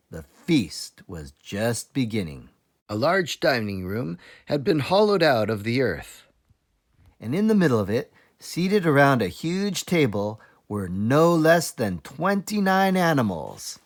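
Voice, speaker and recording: A man reading a children's book aloud as a teacher librarian, explaining things deliberately for young listeners. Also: mid-range voice. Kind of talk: children's storybook read-aloud.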